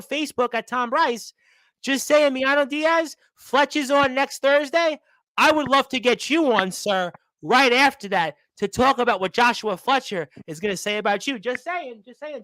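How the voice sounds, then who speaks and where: Guy hosting a wrestling radio show, high bright voice, rambles and repeats himself, dry close sound. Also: moderate pace, no room sound, poor audio. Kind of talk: wrestling talk show interview.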